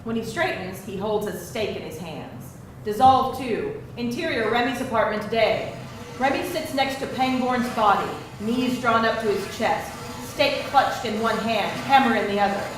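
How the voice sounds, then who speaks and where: A woman with a fairly high voice reads scripted stage directions. She sounds distant, in a very echoey room with clear background noise. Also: moderate pace. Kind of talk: scripted narration at a live screenplay reading.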